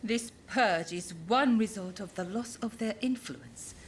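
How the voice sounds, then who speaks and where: A woman's voice: medium pitch, expressive, slight background noise, close to an everyday voice. A woman playing a poised, formal informant bearing grave news in a video game cutscene. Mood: composed, urgent. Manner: formal, composed, deliberate wording.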